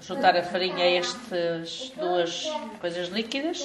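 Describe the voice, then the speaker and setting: A middle-aged woman with a European Portuguese accent, calmly explaining a family recipe in brief, practical answers. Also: on the low side, unhurried, crowd noise. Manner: brief, matter-of-fact answers. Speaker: home cook, the host's mother. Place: home kitchen, indoors. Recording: echoey kitchen with background noise.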